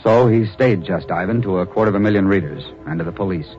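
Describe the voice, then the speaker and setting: Actor as low-voiced reporter narrator, old radio drama, music under, dull sound. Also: male voice, quick pace, darkish tone, natural voice. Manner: earnest storyteller, hesitant repeats. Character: newspaper reporter narrating his story, adult man.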